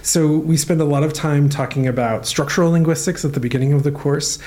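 A man speaking as a university professor, answering interview questions in a relaxed, thoughtful way with frequent pauses and fillers. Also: on the high side. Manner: thoughtful, many "um" and "uh" while explaining.

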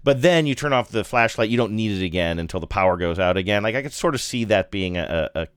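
A man's voice: on the low side, lively intonation, fast, clean tone, dry recording, clean studio sound. A man co-hosting a podcast, telling stories in casual chat, laughing and mimicking voices. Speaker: podcast co-host.

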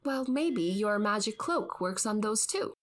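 A woman's voice: mid-range voice, expressive, a little slow, lightly acted. An actress playing a dry, skeptical podcast host, the exasperated straight woman in scripted comic banter. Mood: exasperated, defensive. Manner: wry, skeptical.

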